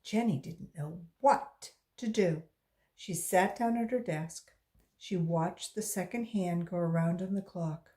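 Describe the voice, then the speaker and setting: A volunteer reader from West Virginia, a woman reading a children's book aloud in a slow, expressive storytelling voice. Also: fairly low pitch.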